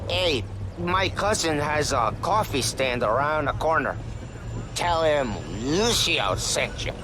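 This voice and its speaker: A man's voice: a little high, animated, takes it slowly, noisy background. An actor playing a tipsy, friendly but cynical working man in a taxi in a video game, blunt and chatty. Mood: chummy, cynical. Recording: loud music and street car sound.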